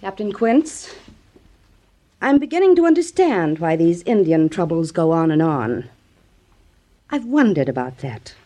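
A woman's voice: on the low side, very animated, measured pace, pauses often, warm tone. A proud and determined widow of an army officer in a 1950s radio drama. She speaks formally and imperiously, with a bitter, cutting edge. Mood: angry scorn.

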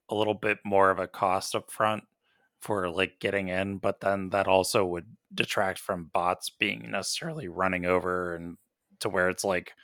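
A man's voice: low-pitched, sharp tone, dry recording, clean studio sound. A man co-hosting a gaming podcast, speaking in a casual, conversational style. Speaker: podcast co-host.

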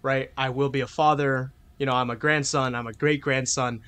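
A man's voice: medium pitch, fairly even intonation, moderate pace, a bit bright, clean tone. A man co-hosting a podcast, arguing in a rambling way, full of filler words and swearing. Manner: argumentative, emphatic. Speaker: podcast co-host. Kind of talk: casual podcast debate.

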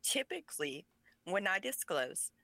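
A woman's voice: medium pitch, big pitch swings, unhurried, creaky, sharp tone, dry recording. A woman whose first language is Pennsylvania Dutch, talking as an author guest in an interview, speaking passionately and with emphasis. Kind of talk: conversational interview.

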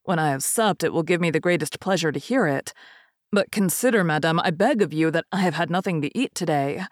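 A woman's voice: fairly low pitch, a little fast, no echo, clean studio sound, lightly acted. A woman voicing a proud young storybook prince, formal and courtly in his speech but hungry and impatient.

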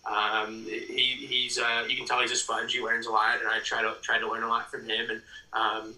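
Roomy, bright-voiced young American man. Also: mid-range voice, completely flat delivery, normal speed. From Ohio, United States. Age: young adult. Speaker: podcast guest, PA announcer and ticket sales executive. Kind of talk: casual interview chat. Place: room at home, audio call. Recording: roomy, slightly distant mic.

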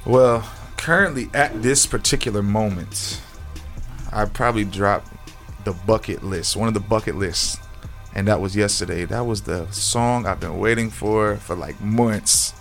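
Relaxed Southern man, rapper guest. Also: on the low side, a little slow, soft background music. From South Georgia. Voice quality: raspy at times. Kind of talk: casual interview chat.

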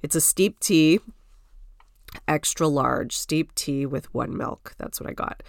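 A woman's voice: low-pitched, takes it slowly, vocal fry, dry recording, clean studio sound. A woman hosting a solo podcast from home, congested from a cold, chatting in a rambling way with lots of "like" and "you know". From Canada. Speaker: podcast host. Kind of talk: casual solo podcast chat.

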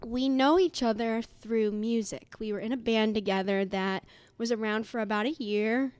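A woman's voice: on the high side, steady tone, moderate pace, a little mellow, clear, resonant tone, no room sound. A woman in her late twenties from upstate New York, co-hosting a casual podcast chat with frequent laughs. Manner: giggly, chatty. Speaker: podcast co-host.

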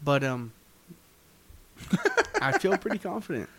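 A man's voice: mid-range voice, sing-song, a little slow, fairly bright tone, slightly rough. A young man co-hosting a podcast, joking and teasing his friends in a casual chat. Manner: joking, teasing, laughs a lot. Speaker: podcast co-host.

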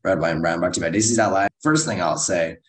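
Low, slightly hoarse American man, roomy sound. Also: fast talker. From United States. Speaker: co-host of a film and TV review show. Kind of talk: upbeat podcast chat. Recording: roomy echo.

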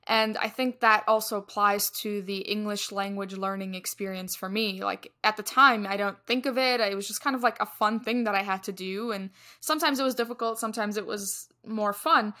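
A woman's voice: medium pitch, sharp tone. A young woman from Egypt co-hosting a podcast, chatting casually and poking fun at herself. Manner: chatty, self-deprecating, laughs while talking. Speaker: podcast co-host.

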